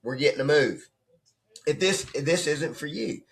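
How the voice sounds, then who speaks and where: Hoarse man giving a rambling coaching talk. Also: a little high, moderate pace. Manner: rambling and conversational, often asks "right?". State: hoarse, voice nearly lost. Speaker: forex trading coach. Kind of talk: casual off-the-cuff coaching talk. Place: at his computer desk.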